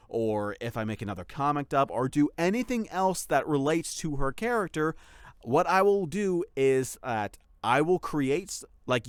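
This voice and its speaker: A man's voice: mid-range voice, clean tone, no room sound, clean studio sound. A man speaking casually as a channel host, giving a rambling, explanatory update to followers. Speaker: ASMR voice actor and channel host.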